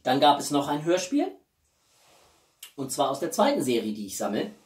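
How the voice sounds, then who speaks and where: A man in his forties chatting casually as a video blogger, with halting speech and enthusiastic asides. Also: medium pitch, wildly swooping pitch, a little mellow. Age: 40s. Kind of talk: casual chatty vlog presenting gifts. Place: small living room, indoors. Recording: roomy echo, distant microphone.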